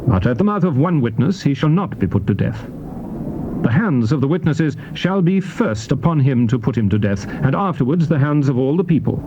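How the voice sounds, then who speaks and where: A man reads scripture in a solemn, declamatory style, setting out each phrase deliberately. His voice sits at a middling pitch. The recording is a dull old cassette with clear noise behind the words. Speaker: scripture narrator. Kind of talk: solemn dramatized Bible reading.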